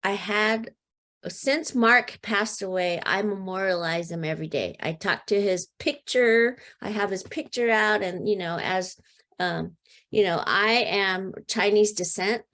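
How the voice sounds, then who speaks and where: Middle-aged woman guest, earnest storyteller, halting and filler-heavy, mid-pitched. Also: a bit bright. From United States. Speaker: podcast guest. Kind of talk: casual storytelling.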